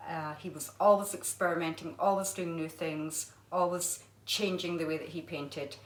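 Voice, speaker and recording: A woman's voice: on the low side, fairly even intonation, normal speed. A woman, an art teacher, reading a children's storybook aloud expressively. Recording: echoey room.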